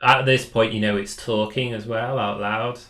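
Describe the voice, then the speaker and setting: A man's voice: lowish voice, steady tone, normal speed, bright, forceful tone. A man leading a session in casual chat, explaining things informally and asking prompting questions. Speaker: project facilitator and session leader.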